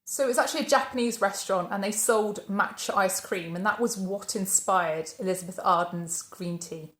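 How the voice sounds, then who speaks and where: A woman's voice: mid-range voice, normal speed, fairly bright tone, roomy sound. A woman, hosting her channel and narrating a life story in a scripted way, posing questions and then answering them. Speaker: channel host.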